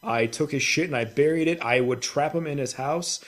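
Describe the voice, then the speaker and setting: A man with a slightly high voice co-hosts a gaming podcast. He chats and tells stories casually, with plenty of swearing and an exasperated, ranting manner. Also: a little fast. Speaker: podcast co-host. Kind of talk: casual chat and storytelling.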